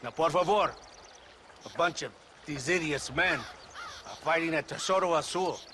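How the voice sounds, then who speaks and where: A man's voice: fairly high pitch, measured pace, bright, forceful tone, a little hiss or hum. A man playing a menacing, pompous Mexican military tyrant in a game cutscene, theatrical and mocking, with a Mexican accent. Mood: condescending. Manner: theatrical, sneering, mixes Spanish into English. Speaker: video game character actor. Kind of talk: dramatic game cutscene acting.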